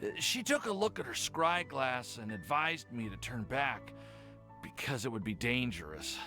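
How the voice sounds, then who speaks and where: Earnest, nervous young romantic for a game, hesitant speech with big pitch swings, light music behind. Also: male voice, sing-song, moderate pace, pushed and bright. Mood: sheepish. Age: young adult. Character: lovestruck, nervous young man, apologetic and flustered. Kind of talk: game cutscene acting.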